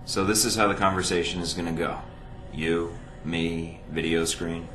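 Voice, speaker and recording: A man's voice: low-pitched, level tone, normal speed, fairly bright tone, roomy sound, slight background noise, poor audio. A man playing a cocky, sardonic secret agent recruit in a video game, with a dry, wisecracking delivery. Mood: dry sarcastic.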